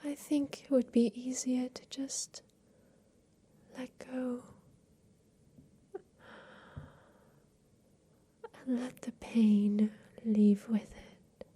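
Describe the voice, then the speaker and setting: A woman playing a tender, ailing inventor of a mechanical creature in a soft, whispered ASMR roleplay. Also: fairly high pitch, husky, heavily acted. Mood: tender, wistful. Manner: slow, gentle, soothing, with pauses.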